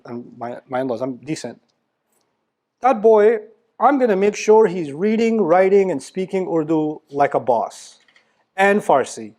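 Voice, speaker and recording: A man's voice: fairly high pitch, a little mellow. Emphatic man giving a religious lecture. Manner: emphatic, exhorting, rhetorical questions; blunt and teasing with the audience. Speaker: Islamic lecturer.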